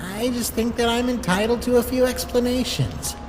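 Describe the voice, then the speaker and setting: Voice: medium pitch, normal speed, big-room sound, noisy background, natural voice. An actor voicing a moody, curious young male talking flower in a fan comic dub, blunt yet hesitant. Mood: prickly, demanding.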